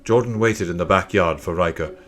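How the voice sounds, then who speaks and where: A man's voice: low voice, brisk, fairly bright tone, soft background music. A man reading a scripted children's story as an audiobook narrator.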